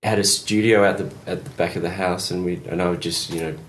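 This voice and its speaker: A man's voice: low-pitched, steady tone, fast, slight background noise, rough recording. A man from Australia, partly raised in England, casually recounting memories as an interview guest. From born in Perth, Australia, partly raised in England. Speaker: interview guest, touring drummer.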